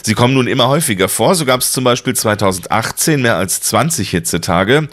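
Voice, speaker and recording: A man reading radio news and the weather forecast in a formal, clear, even manner. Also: mid-range voice, brisk. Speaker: newsreader.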